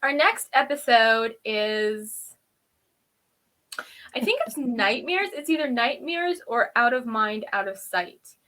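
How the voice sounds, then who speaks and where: A woman with a somewhat high-pitched voice talks as co-host of an informal review show. She rambles in a friendly back-and-forth style, with a giggly, conversational manner. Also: animated, slow pace, bright, forceful tone. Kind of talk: casual chatty review between friends.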